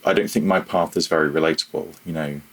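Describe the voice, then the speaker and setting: Male interview guest, deep and husky, informal, modest, feeling his way through each thought. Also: fairly even intonation, fast talker. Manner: hesitant, self-deprecating, thinking aloud. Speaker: podcast guest, freelance audio developer. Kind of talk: casual interview chat.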